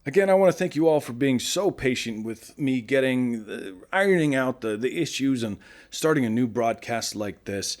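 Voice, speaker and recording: A man's voice: mid-range voice, lively intonation, moderate pace, a little mellow, close to an everyday voice. A man playing a friendly, earnest morning radio host in a post-apocalyptic audio drama, chatty and rambling. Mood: earnest, upbeat.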